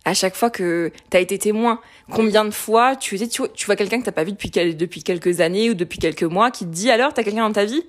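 A woman's voice: medium pitch, quick pace, hardly any pauses, slight background noise. Candid woman in her late twenties. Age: late 20s. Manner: candid, animated, many fillers and restarts. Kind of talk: casual conversation.

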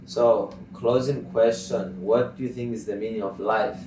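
Greek man, native Greek speaker, interview host, halting phrasing, low voice, roomy off-mic sound. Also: steady tone, far from the mic, noisy background. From Greece. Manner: halting, restarts often, non-native phrasing. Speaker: podcast host. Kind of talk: casual interview questions.

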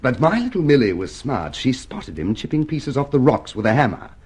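A hearty, self-satisfied male party loyalist in a radio play. His mid-pitched, slightly rough voice bounces around as he blusters chummily. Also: sing-song, a little fast, a little mellow. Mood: smug, boastful. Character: hearty, smug, proud party-loyal father and busybody. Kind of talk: radio drama acting.

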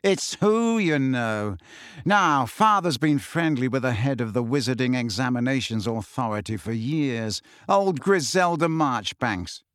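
A smug, arrogant teenage schoolboy, voiced by a man with a slow, lazy drawl. He stretches his boasts out with lofty, shifting pitch. It is read close and dry. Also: expressive, no room sound, lightly acted.

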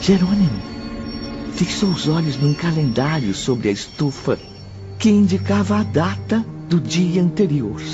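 A man's voice: on the high side, very animated, a little slow. A man with a Brazilian accent gives solemn, literary narration for a radio drama. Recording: muffled radio production, music underneath.

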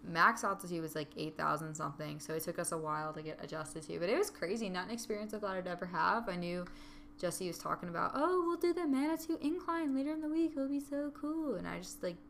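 Chatty woman telling a lively story. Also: lowish voice, quick pace, creaky, slight background noise. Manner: animated storytelling, acts out her own quotes. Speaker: hiker recounting a trip. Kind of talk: casual chat.

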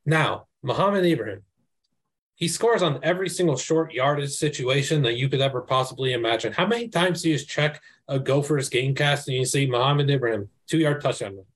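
Male panelist, mid-pitched, excitable and emphatic, casual sports talk. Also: brisk. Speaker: college football panelist. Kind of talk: casual sports podcast chat.

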